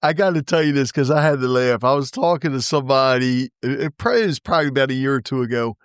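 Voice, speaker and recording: A man's voice: mid-range voice, fast talker, clean tone, no room sound, clean studio sound. Easygoing man hosting a podcast. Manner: easygoing, laughs a lot, tells anecdotes. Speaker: podcast host. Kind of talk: casual interview chat.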